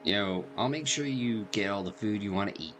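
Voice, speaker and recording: A man's voice: fairly low pitch, moderate pace. A man doing casual livestream game commentary, reacting with slangy, joking asides. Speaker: game streamer. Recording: game music behind the voice.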